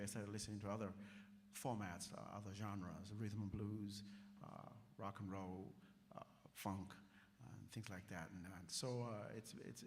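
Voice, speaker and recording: A man, a band member calmly telling his musical background at a college Q&A, with frequent pauses. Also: fairly low pitch, slight background noise. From East Africa. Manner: measured, reflective, frequent pauses. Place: college music hall, reverberant. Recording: reverberant hall.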